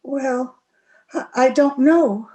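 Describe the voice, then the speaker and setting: Lady doing a proud young gentlewoman in love, highish voice, slow dramatic delivery, old radio play. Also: rough recording. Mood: shy, flustered. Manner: theatrical, emphatic. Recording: remote video-call recording.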